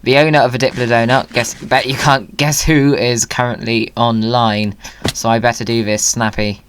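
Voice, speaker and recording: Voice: mid-range voice, normal speed, a little hiss or hum. Playful, chatty gamer. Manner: playful, jokey, excitable. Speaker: video creator hosting a gaming video. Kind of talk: chatty gaming commentary.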